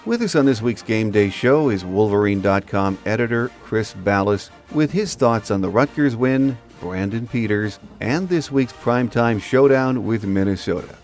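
A man's voice: lowish voice, moderate pace, few pauses, darkish tone, faint music behind. An American man hosting a sports podcast, delivering scripted commentary as a monologue. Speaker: podcast host.

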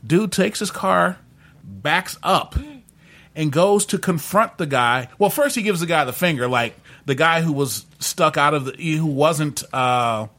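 A man with a slightly high voice and very lively intonation chats casually as a podcast co-host. He tells things haltingly, stumbling and repeating words as he goes. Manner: halting, stammering delivery with many repeats. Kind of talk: casual chat, telling an anecdote.

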